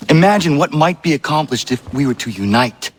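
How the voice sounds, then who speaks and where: A man's voice: fairly high pitch, lively intonation, breathy edge. An actor playing an earnest, proud young male Assassin who speaks formally and with measure in a game cutscene. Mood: earnest, hopeful. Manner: formal, measured, avoids contractions. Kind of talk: video game cutscene acting.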